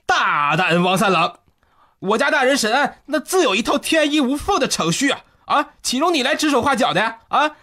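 An actor playing a loyal, bumbling bodyguard sidekick in a comedy radio drama, blustering and stammering in colloquial speech. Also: male voice, very high-pitched, sing-song, moderate pace, sharp tone, heavily acted.

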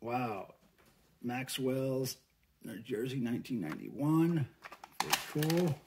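A middle-aged man with an American accent chats informally to camera in a home room. His voice sits at an average male pitch, with a folksy, casual unboxing-vlog delivery. There is clear noise behind him, along with small clicks. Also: sing-song, slow. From United States. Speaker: vlogger, record collector and fan site manager.

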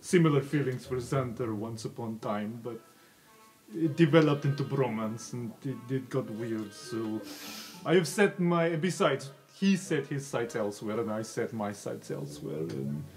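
A man's voice: mid-range voice, expressive, normal speed, a little mellow, soft background music, close to an everyday voice. A man playing a huge, formal, lovestruck guard in an improvised tabletop game, earnest and flustered. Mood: nervous, confiding.

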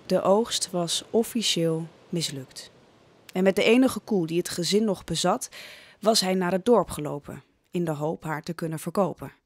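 A woman's voice: fairly low pitch, normal speed, husky, no room sound. A woman narrating a scripted audio drama as a dramatic storyteller.

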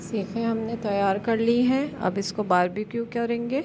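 A woman hosting a cooking channel, calmly talking through a recipe step by step in a voice-over. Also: on the high side, moderate pace, noisy background. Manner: calm step-by-step instructions.

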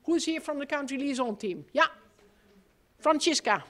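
A woman hosting a live gathering, introducing team members and prompting the audience with quick informal questions over a handheld mic in a large room. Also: high voice, lively intonation, fairly bright tone. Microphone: handheld microphone.

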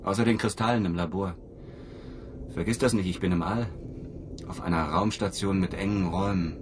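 Man acting a deep-voiced, brooding lonely cosmonaut. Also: steady tone, moderate pace, background noise, lightly acted. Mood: wistful. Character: lonely, embittered male cosmonaut aboard a space station. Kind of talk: German radio drama acting.

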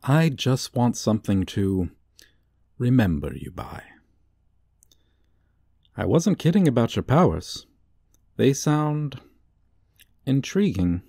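A man playing a gloating, suave supervillain in a comedic ASMR roleplay, with a theatrical, teasing, drawn-out delivery. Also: mid-range voice, sing-song, gravelly, dark tone, no room sound, studio quality, heavily acted. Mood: triumphant, flirtatious.

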